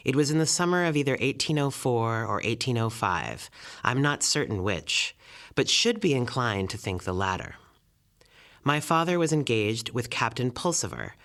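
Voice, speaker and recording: A man reads a literary memoir aloud. His voice sits at a middle pitch, and his delivery is measured, with formal, old-fashioned phrasing suited to scripted narration. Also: fairly bright tone.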